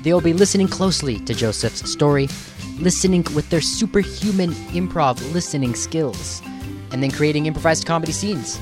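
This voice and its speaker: A man's voice: on the high side, expressive, fairly quick, music in the background. A man hosting a podcast, introducing the show and putting questions to his guest. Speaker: podcast host.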